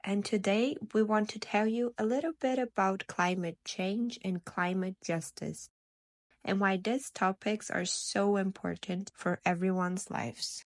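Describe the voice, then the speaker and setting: A young woman from Brazil, in her twenties, co-hosting a podcast and reading a prepared script in a steady way. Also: medium pitch, measured pace, dry recording, studio quality. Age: 20s. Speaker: podcast co-host.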